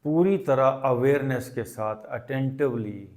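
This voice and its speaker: A man leading a guided meditation as a teacher, speaking deliberately and instructively in short phrases with pauses. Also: mid-range voice, darkish tone.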